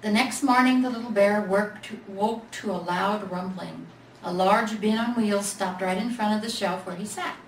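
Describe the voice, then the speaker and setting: A woman's voice: mid-range voice, moderate pace. A woman reading a children's storybook aloud as a storyteller. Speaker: storyteller in character as Mrs. Claus. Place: library event room. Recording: echoey room, distant mic, faint noise.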